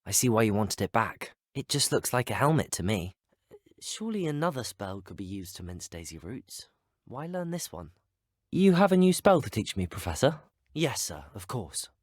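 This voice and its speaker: An actor playing a polite, resolute teenage student wizard in a video game, well-spoken with an English accent. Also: male voice, lowish voice, very animated, moderate pace, no room sound, clean studio sound. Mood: polite, inquiring.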